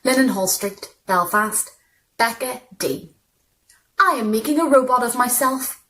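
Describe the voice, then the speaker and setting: A woman's voice: on the high side, animated, a little slow, fairly bright tone. Woman reading short literary stories, noisy recording. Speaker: story reader. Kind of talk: literary short story narration, read aloud.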